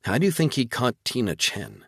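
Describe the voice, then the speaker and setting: A man's voice: mid-range voice, huge pitch swings, moderate pace, vocal fry, a bit bright, husky, dry recording, clean studio sound, voice pushed up, heavily acted. A man voicing a shrewd, forthright female ship's officer in a sci-fi audiobook. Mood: shrewd, frank. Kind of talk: audiobook character dialogue.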